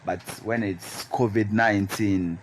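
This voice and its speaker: A man's voice: fairly low pitch, fairly even intonation, measured pace. A man with a Ghanaian accent hosting a radio discussion in a conversational, musing and questioning way. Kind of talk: conversational moderating. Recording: noticeable background noise.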